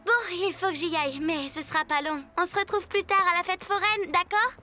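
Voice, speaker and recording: A woman's voice: extremely high pitch, a little fast, pushed and bright. A woman voicing a confident, teasing young girl in a French cartoon. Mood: cheerful. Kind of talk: French animated cartoon acting.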